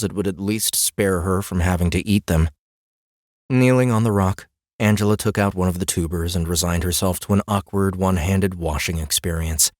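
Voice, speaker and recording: A man's voice: low-pitched, darkish tone, dry recording, studio quality. A man narrating a fantasy audiobook as a scripted story reading.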